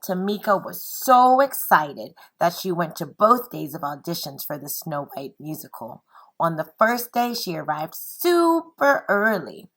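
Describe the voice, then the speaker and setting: A woman speaks as a teacher at storytime, with a slightly low pitch and a very slow pace. Her voice is bright and clear-cut in emphasis. She is expressive and encouraging, as if guiding young pupils. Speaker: teacher reading bedtime stories aloud. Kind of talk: expressive children's read-aloud and encouraging address.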